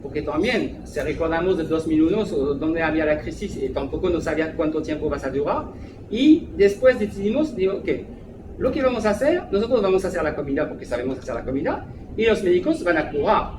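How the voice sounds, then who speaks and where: A man's voice: on the high side, brisk. A man with a French accent speaking Spanish as a second language, a restaurant manager giving an interview, hesitant and full of fillers. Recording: echoey room, background noise.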